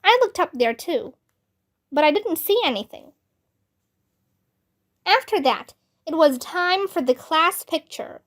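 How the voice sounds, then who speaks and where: A woman reading a children's book aloud as narrator, with expressive, deliberate delivery. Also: extremely high pitch. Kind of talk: scripted storybook narration in a child's first-person voice.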